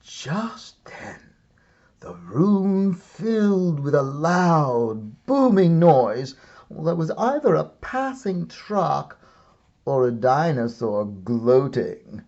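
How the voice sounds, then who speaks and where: A man reads a children's story aloud as an expressive narrator, theatrical and deliberate with comic timing. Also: fairly high pitch, lo-fi sound. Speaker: storybook reader, art and stories teacher.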